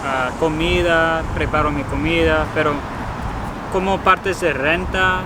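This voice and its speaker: A man's voice: fairly high pitch, fairly even intonation, unhurried. Easygoing young American guy by traffic. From United States. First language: English. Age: 20s. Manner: laid-back, often says "you know", Spanish a second language. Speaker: interview guest.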